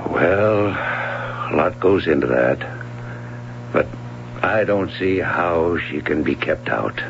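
Very deep-voiced American swimming coach. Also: male voice, expressive, creaky, full character voice. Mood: resigned. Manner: measured, dry, plain-spoken. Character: matter-of-fact, resigned male swimming coach. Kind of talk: 1970s American radio drama acting. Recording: muffled radio recording with hum.

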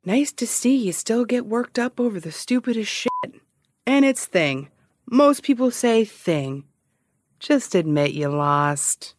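A woman's voice: fairly high pitch, animated, slow, no room sound, studio quality. A woman playing a wisecracking, needling former detective with a grudge in a scripted audio drama. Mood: goading. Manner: sardonic, teasing. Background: music.

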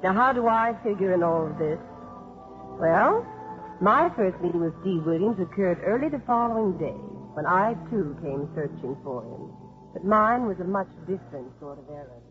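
A woman's voice: on the low side, lively intonation, moderate pace, lightly acted. Woman acting prim, composed welfare investigator. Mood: composed, storytelling. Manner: crisp, formal, composed. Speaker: actress and narrator in radio drama. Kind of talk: 1950s radio drama narration and acting. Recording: muffled 1950s radio recording, music behind.

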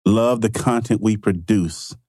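A man's voice: lowish voice, measured pace, dark tone, dry recording, studio quality. A man co-hosting a podcast, joking and teasing his guest in casual talk. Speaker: podcast co-host.

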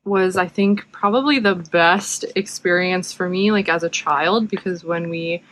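A woman's voice: lowish voice, measured pace, fairly bright tone, poor audio. A woman with a Canadian accent telling personal stories casually, hesitating often as she goes.

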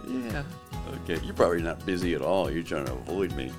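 Low-voiced male podcast host who jokes and teases his co-host. His voice sits deep from allergies, but his pitch swings widely as he talks. Music plays behind him. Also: huge pitch swings, fairly quick, vocal fry. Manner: joking, teasing. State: voice lowered by allergies. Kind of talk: casual chat. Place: South Carolina.

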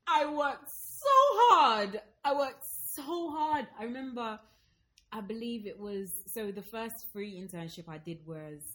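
A woman in her thirties from Zambia with an English accent, a guest telling her story in a lively way and acting out what people said. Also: fairly high pitch, slow. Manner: lively storytelling, acts out quoted speech. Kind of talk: casual conversation.

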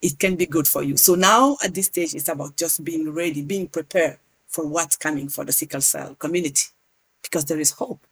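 A woman's voice: sits low, brisk, slightly rough. Earnest woman advocate. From Niger. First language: Hausa and Zarma. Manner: earnest, animated, repeats words. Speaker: guest, patient advocacy leader. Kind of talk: podcast interview.

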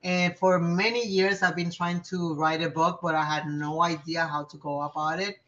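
A woman's voice: on the low side, fairly even intonation, moderate pace, fairly bright tone. A middle-aged woman born in New York and raised in Puerto Rico, a community organizer chatting casually as a podcast guest and laughing often. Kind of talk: casual interview chat. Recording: slightly dull lo-fi audio.

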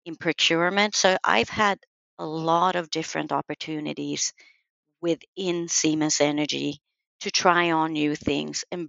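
A woman's voice: low-pitched, vocal fry, a bit bright, no echo. A woman in her forties, answering interview questions thoughtfully with many fillers over a dull lo-fi line. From Sweden. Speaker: guest, program manager.

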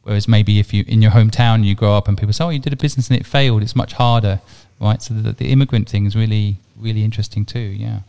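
A man in his forties hosts an interview at a brisk clip. His voice is clean and resonant, and he asks curious follow-up questions. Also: fairly low pitch, steady tone, creaky, darkish tone, smooth. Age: 40s. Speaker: podcast host. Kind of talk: conversational interview.

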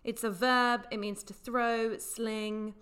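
Woman podcast cohost, kinda high bright crisp-edged voice, explaining slang casually, bit teasing. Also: level tone, slow. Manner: teasing, playfully correcting. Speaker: podcast co-host.

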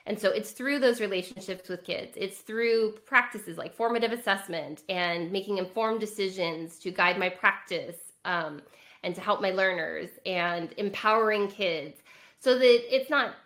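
A woman's voice: mid-range voice, normal speed, fairly bright tone. A woman with a Californian American accent answering conversationally as an interview guest, earnest and reflective. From California, United States. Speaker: educator and author, podcast guest.

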